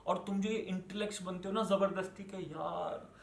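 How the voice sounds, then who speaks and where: Young male ranter, Indian accent, raised pitch, combative and sweary, fires questions at the listener, reverberant room sound. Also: steady tone, moderate pace, gravelly, a little hiss or hum. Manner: scolding, confrontational, swearing, rhetorical questions; switches between Hindi and English. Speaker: online commentator. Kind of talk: angry unscripted rant to viewers.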